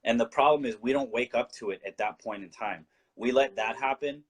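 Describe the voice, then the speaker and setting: A man's voice: mid-range voice, brisk, slightly rough. A man from Colombia, a guest in a casual talk-show discussion, explaining his views earnestly and often restarting his sentences.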